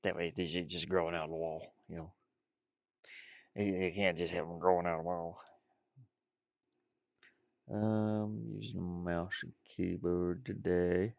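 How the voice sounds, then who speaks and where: A man with a very low, creaky voice talks casually to himself as an indie game designer at work. He rambles and mutters with playful asides. The sound is close and dry but a bit dull. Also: flat delivery, measured pace, long pauses, darkish tone, no room sound. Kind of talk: casual chat while drawing game art, thinking aloud.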